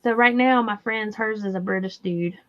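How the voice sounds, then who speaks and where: A woman's voice: medium pitch, moderate pace. A woman with a Southern US accent hosting a crochet livestream in casual, laughing chat and reading viewer comments aloud. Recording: slightly dull lo-fi audio.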